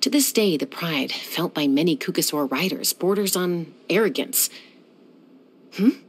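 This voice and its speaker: A cocky, husky young gunslinger. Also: female voice, on the low side, lively intonation, measured pace, heavily acted. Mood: cool. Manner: cool, self-assured, dryly teasing. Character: confident young woman peacemaker gunslinger who flies. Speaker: game character. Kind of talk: video game voice lines.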